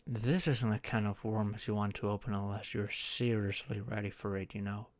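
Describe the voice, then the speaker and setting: A young man playing a shy, anxious friend in an intimate audio roleplay, speaking haltingly with sighs and murmurs. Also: lowish voice, steady tone, natural voice. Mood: hesitant, reluctant. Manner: halting, sighing, breathy murmurs rising to tearful outbursts.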